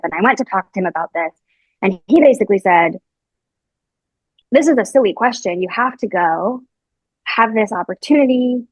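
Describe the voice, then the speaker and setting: A woman's voice: medium pitch, no room sound, highs cut off. A young adult woman reporter, a podcast guest, answering questions in a chatty way with many fillers and restarts. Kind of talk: casual interview answers.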